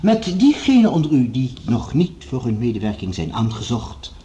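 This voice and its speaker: A male actor plays a commanding leader of a scientific project in a radio play. His voice is warm and dark, with sweeping intonation. He speaks in formal, orotund phrases, over faint background noise. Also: mid-range voice, sing-song, lightly acted. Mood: formal, rallying. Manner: formal, orotund, measured authority. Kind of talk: radio drama acting, formal speech-making.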